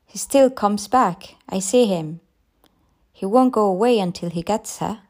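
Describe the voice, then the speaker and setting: A woman's voice: mid-range voice, a little slow, darkish tone. A woman voicing a crazed old woman in a derelict garden, who talks in eerie, rambling, broken phrases.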